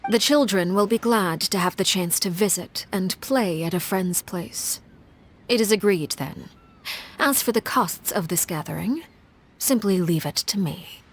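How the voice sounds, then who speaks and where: A woman's voice: medium pitch, close to an everyday voice. A woman voicing a poised, calculating leader. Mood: composed. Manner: formal, measured, precise diction. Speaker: video game character. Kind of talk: scripted game voice lines.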